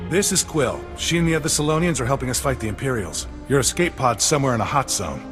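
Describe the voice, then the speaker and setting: Plain-spoken, embattled male captain played by a man, mid-pitched, game dialogue in long reverb with music. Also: lively intonation, quick pace, lightly acted. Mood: surprised. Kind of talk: video game cutscene acting.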